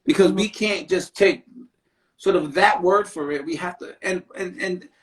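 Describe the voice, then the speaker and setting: A man with a fairly high voice hosts a casual live-stream conversation. He talks in an animated, emphatic way and often closes his points with a quick "right?". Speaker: podcast host; therapist.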